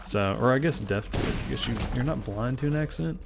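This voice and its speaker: A man's voice: mid-range voice, expressive, a little fast, vocal fry, background noise. A man in his twenties from Tennessee with a Southern accent, chatting casually and self-deprecatingly while commenting on his gameplay, often rambling between thoughts. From Memphis, Tennessee. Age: 20s. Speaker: gaming channel host.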